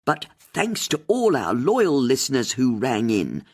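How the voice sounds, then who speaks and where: A man's voice: medium pitch, huge pitch swings, unhurried, darkish tone, husky, full character voice. A man playing a breezy, upbeat radio presenter woman.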